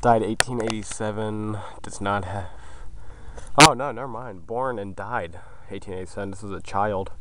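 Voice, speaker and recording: A man's voice: on the low side, moderate pace. A man giving casual vlog narration outdoors on a handheld camera in the wind. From United States. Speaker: vlogger exploring abandoned places. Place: outdoors in a windy graveyard. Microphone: handheld camera's built-in mic. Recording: noisy windy outdoor camera audio. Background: wind and outdoor noise.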